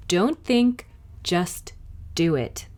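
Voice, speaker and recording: A woman's voice: fairly low pitch, animated, very slow, darkish tone. Lady being a kid adventurer boy in a storybook, earnest, unsure but brave, voice bounces around. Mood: nervous resolve.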